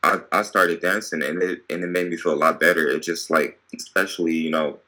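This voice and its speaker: Low-voiced young male host. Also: steady tone, pushed and bright. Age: young adult. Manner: halting, full of fillers like "you know". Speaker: podcast host. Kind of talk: casual interview chat.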